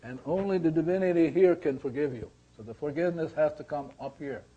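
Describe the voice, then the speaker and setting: Man teaching, mellow voice, slightly dull recording. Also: fairly high pitch. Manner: deliberate, repetitive explaining with rhetorical questions. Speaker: seminar teacher. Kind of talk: teaching a spiritual seminar. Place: seminar room with attendees.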